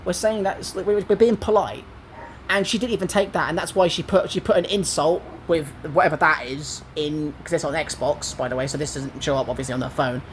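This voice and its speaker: A young man in his twenties with an English accent talks with a high, squeaky voice. He sounds aggrieved and rambles as he retells an online argument. A faint hiss runs underneath. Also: fairly quick, poor audio. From England. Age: 20s. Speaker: gaming vlogger. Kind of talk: casual commentary.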